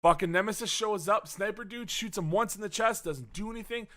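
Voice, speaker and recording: A man hosting a podcast, rambling through a profane, casual movie recap. He swings from loud outbursts to muttering, and his voice is wearing out. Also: high-pitched, fairly quick. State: losing his voice. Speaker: podcast host.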